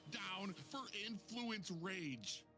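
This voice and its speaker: A man calling the play-by-play of an esports match with energetic, excited commentary. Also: high-pitched, drawn-out words. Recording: game music behind the voice.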